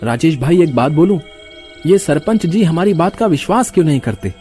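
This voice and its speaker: A man doing a lively, hot-headed young villager. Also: a little high, a little fast, faint music behind, natural voice. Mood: conspiring. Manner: lively, agitated.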